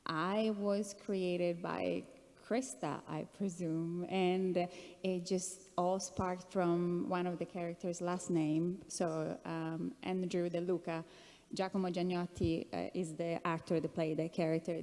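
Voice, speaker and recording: A woman's voice: lowish voice, level tone, unhurried, warm tone. A woman talking casually as an actress on a panel, recorded in an echoey hall. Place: large indoor conference hall.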